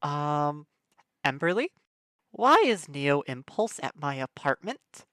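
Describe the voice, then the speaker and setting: A woman's voice: low-pitched, very animated, slow pace, fairly bright tone, no room sound, studio quality, full character voice. A woman playing a polite, awkward young apartment dweller in an audio drama, with a hesitant, natural delivery.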